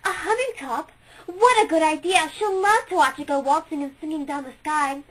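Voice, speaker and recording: An eager, delighted star girl, breathless and bubbly, as a woman reading aloud puts her on. The voice sits high and lively, full of excitement about everything she sees. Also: moderate pace, low-quality recording. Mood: excited.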